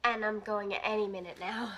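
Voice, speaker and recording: A woman's voice: medium pitch, fairly even intonation, normal speed, fairly bright tone, soft background music, close to an everyday voice. An actress playing a flippant teenage girl in a TV series, talking in a casual, dry, slangy way. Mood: wry. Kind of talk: TV series acting.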